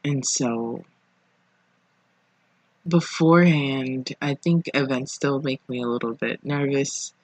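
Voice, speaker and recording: Podcast host, husky voice, unhurried pace, informal reflective monologue, pauses between phrases. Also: medium pitch, a little mellow, dry recording, low-quality recording. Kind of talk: casual solo podcast monologue. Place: living room at home.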